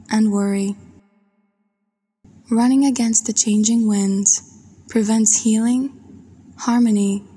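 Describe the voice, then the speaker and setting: A woman narrating a guided meditation in a soft, breathy voice, with a soothing, gentle and unhurried manner. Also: medium pitch. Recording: long washy reverb.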